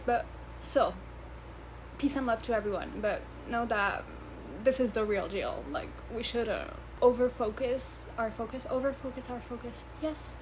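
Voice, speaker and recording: Giggly young woman with a slightly high voice. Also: noisy background. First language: not English; English is her third language. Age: 20s. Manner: chatty, giggly, swearing, stumbling over words. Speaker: vlogger. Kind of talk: casual rambling vlog chat.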